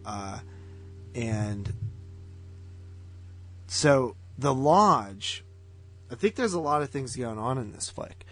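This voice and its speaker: A man co-hosting a movie podcast, giving his opinions on films in a casual, halting way. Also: medium pitch, expressive, a bit bright, no echo. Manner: halting, filler-heavy opinions. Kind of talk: casual film discussion.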